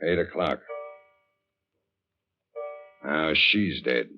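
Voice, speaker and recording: An actor playing a brusque, forceful police captain in an emphatic 1940s radio drama style. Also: male voice, a bass voice, extremely slow, soft background music, exaggerated voice. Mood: grave, explaining. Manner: emphatic, hard-boiled, insistent. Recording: dull, lo-fi 1940s radio recording.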